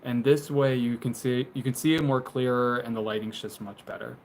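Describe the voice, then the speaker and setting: A man's voice: medium pitch, fairly even intonation, a little fast, darkish tone, a little hiss or hum, rough recording. Rambling young man streaming from a closet. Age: 20s. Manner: rambling, many fillers and restarts, laughs often. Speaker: live streamer. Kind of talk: casual live stream chat, reading viewer comments. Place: small bedroom closet, indoors. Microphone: phone on tripod.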